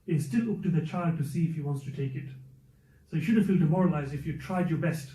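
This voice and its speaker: A man's voice: a little high, fast talker, dark tone, far from the mic, a little hiss or hum. A man giving a religious lesson to a youth and parents circle, talking earnestly and repeating his main points. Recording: muffled, echoey room, speaker away from mic.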